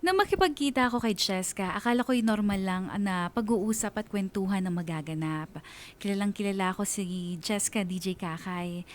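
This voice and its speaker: A woman's voice: medium pitch, moderate pace, a little hiss or hum, close to an everyday voice. A woman playing a lovestruck young woman confiding her story in dramatic narration, with a Filipino accent. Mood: reflective, stunned. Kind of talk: scripted dramatic first-person narration.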